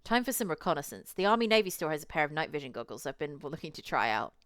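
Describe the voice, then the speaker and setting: Visual novel game: woman as brash hothead guy, blunt, excitable, low register for a female reader. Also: fast, no echo, studio quality. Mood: eager. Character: brash, impulsive man eager to attack vampires. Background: music.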